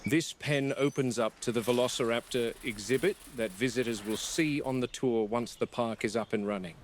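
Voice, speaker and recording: Guy doing a serious dino park warden log, formal and measured, explains everything, background noise. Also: medium pitch, close to an everyday voice. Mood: matter-of-fact. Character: serious male dinosaur park game warden giving a log. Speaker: animal handler. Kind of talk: scripted video log narration.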